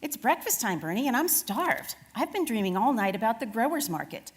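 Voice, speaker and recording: Woman reading as an eager roadrunner with lively pitch. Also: a little fast, slightly rough, big-room sound, exaggerated voice. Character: eager, hungry roadrunner pal in a children's storybook.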